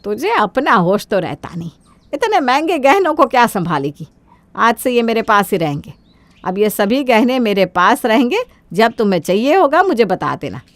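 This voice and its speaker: A woman voicing a greedy, domineering older mother-in-law in a cartoon story, with sharp-tongued commands. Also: fairly high pitch, big pitch swings, normal speed, no echo. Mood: scolding.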